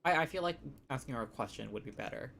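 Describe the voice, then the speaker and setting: Low-voiced, animated woman streaming a game. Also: quick pace, gravelly, noisy background. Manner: reactive, playful. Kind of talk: casual live game commentary, reading choices aloud.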